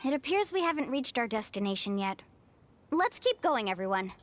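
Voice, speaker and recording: A woman's voice: on the high side, big pitch swings, normal speed. A woman voicing a confident, friendly young guide in a video game, speaking in an assured, encouraging way. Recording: game music behind the voice.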